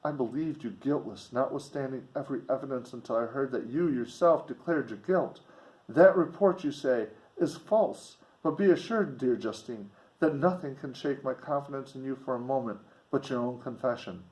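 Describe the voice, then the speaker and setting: A man voicing an earnest, kind, grieving young gentlewoman from a gothic novel, with formal, pleading speech. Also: medium pitch, moderate pace, natural voice, rough recording. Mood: tender, earnest.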